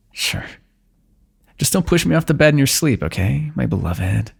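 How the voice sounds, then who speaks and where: A man performing an intimate fantasy roleplay for ASMR, speaking softly in a teasing, affectionate way. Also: medium pitch, vocal fry, slightly rough. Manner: soft, teasing and affectionate, with frequent light laughs.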